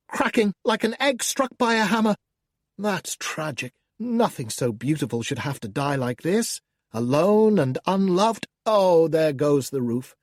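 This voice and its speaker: A man narrating an audiobook as a scornful, menacing male demon who taunts the reader, theatrical and swinging between hushed and loud. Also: medium pitch, no room sound, clean studio sound, natural voice. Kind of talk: first-person audiobook narration.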